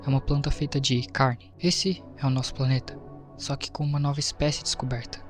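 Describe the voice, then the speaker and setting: A man's voice: medium pitch, level tone, fairly quick. A man with a Brazilian accent narrating a scripted explainer in an informal storytelling way, with casual asides. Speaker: video narrator. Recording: music behind the voice. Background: background music.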